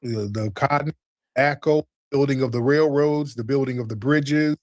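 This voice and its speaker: A man's voice: mid-range voice, normal speed, darkish tone, no room sound, studio quality. A man hosting a live stream debate, lecturing callers in an insistent, interrupting way. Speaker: stream host.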